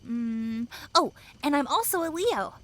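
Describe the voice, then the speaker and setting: Woman playing a high-voiced bubbly college girl. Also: animated, a bit bright. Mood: cheerful. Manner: playful, teasing, drawn-out delivery. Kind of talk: audio drama acting.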